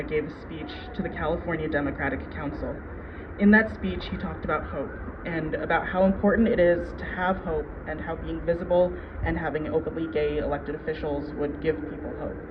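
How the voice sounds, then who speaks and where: Male community speaker, high register, earnest emphatic delivery, dull outdoor event recording with plenty of noise. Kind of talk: prepared heartfelt public speech. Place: outdoors at city hall.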